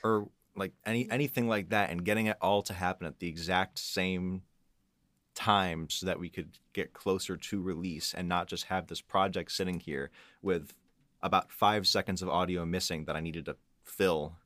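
A man's voice: deep voice, steady tone, moderate pace, fairly bright tone, no echo, clean studio sound. A man on an audio production team, explaining his work in a casual discussion. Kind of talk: casual behind-the-scenes discussion.

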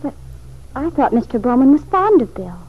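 A woman's voice: high voice, very animated, moderate pace. An actress playing a fragile, lovesick young wife in a vintage radio soap opera, plaintive and hesitant in her speech. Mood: anxious, defensive. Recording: muffled, faintly noisy vintage radio recording.

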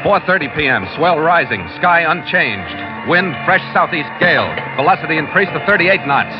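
An actor playing a no-nonsense, harried ship captain who also narrates, curt and commanding, in old-time radio drama. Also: male voice, a little high, lively intonation, moderate pace, lightly acted. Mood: terse, grave. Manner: curt, commanding, clipped log-style delivery. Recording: muffled vintage radio recording with music.

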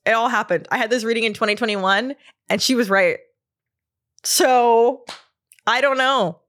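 A woman's voice: on the high side, normal speed, a bit bright. Casual podcast host lady, 30s, rambly with lots of likes and ums, giggly. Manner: chatty, laughs often, trails off with "like" and "um". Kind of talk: casual solo podcast chat.

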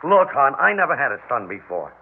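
A man's voice: medium pitch, big pitch swings, brisk, creaky, slightly rough, heavily acted. An actor playing a middle-aged, devoted foster father in an old radio drama, emphatic and easily agitated. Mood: protesting. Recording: noisy, narrow-band old radio recording.

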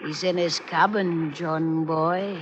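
An actor playing a sweet-talking, scheming sailor with a lilt and a coaxing, sly manner in old radio drama style. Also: male voice, fairly high pitch, steady tone, slow pace, muffled recording. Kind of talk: 1950s American radio drama acting. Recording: old radio recording with noticeable noise.

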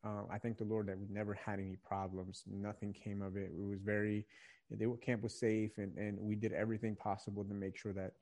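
A man's voice: lowish voice, level tone, a little fast, dark tone. A young man from Virginia, speaking as a guest in a conversational podcast interview, earnest and reflective, often restarting phrases as he searches for words. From Virginia, USA. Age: young adult. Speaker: podcast guest, young adult ministry leader.

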